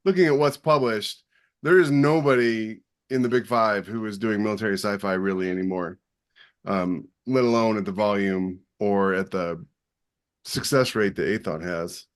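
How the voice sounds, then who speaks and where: A man's voice: on the low side, normal speed. A man co-hosting a podcast, chatting casually in a panel discussion. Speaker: podcast co-host.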